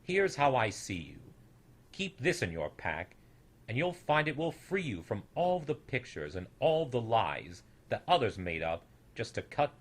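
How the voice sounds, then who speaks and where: A gentle old storybook creator, acted by a man, speaks low and unhurried. He is fatherly, wise and reassuring, with a solemn tenderness and a husky edge. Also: exaggerated voice. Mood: loving, encouraging.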